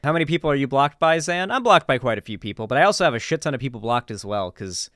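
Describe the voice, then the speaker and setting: A man's voice: mid-range voice, expressive, fast talker, a bit bright, no echo, studio quality. A man giving casual, unscripted political commentary in a blunt, emphatic way with casual swearing.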